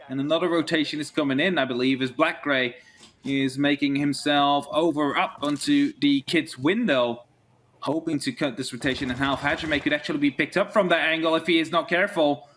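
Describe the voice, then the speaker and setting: A man's voice: fairly high pitch, steady tone, fairly quick, fairly bright tone. A man who is a non-native English speaker, giving live esports play-by-play and casual commentary. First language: not English.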